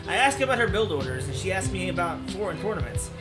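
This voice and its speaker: Male let's play host, notably high voice, narrating a game and chatting to viewers, music clearly underneath. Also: big pitch swings, fast talker. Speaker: let's play host reading a visual novel aloud. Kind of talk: game narration and casual commentary. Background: background music.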